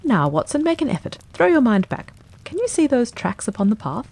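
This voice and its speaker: A woman voicing a brisk, confident male detective in an audiobook reading, quick and emphatic. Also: on the high side, very animated, a little mellow, voice pushed up. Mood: eager.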